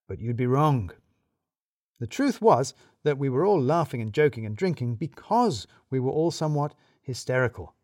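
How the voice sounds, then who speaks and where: A man's voice: mid-range voice, darkish tone, no echo, clean studio sound. A man narrating a scripted first-person mystery story in a wry, arch, wordy storyteller's manner.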